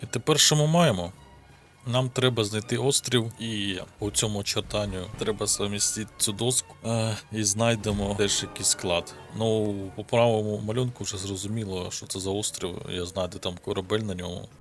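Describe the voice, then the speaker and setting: A man's voice: on the low side, fairly even intonation, moderate pace. A man with a Ukrainian accent giving casual gameplay commentary, offhand and muttering to himself, swearing casually. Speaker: gaming video host. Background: game music.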